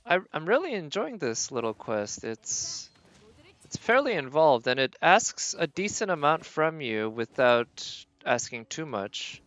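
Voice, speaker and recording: A man's voice: fairly high pitch, sing-song, measured pace, fairly bright tone, dry recording, highs cut off. A man streaming a video game, giving casual live commentary and thinking aloud in short, murmured remarks.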